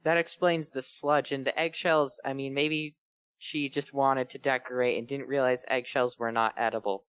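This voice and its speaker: A man's voice: mid-range voice, flat delivery, normal speed, fairly bright tone, clear, resonant tone, no room sound. A man co-hosting a fan fiction read-along, chatting casually in rambling, mock-earnest explanations. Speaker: co-host reading fan fiction aloud. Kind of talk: casual chat with joking riffs.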